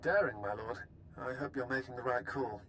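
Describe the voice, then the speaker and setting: An actor playing a resolute, ruthless military strategist in a video game, speaking formally and sternly. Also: male voice, medium pitch, normal speed, fairly bright tone, a little hiss or hum, close to an everyday voice. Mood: stern, doubtful. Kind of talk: scripted game dialogue.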